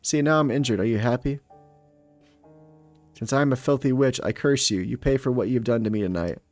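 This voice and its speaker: A man's voice: medium pitch, a little fast, darkish tone, faint music behind, lightly acted. A man voicing a defiant, hot-tempered young woman in a fantasy story game, with an indignant, confrontational manner. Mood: angry, defiant. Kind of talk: reading a story game aloud.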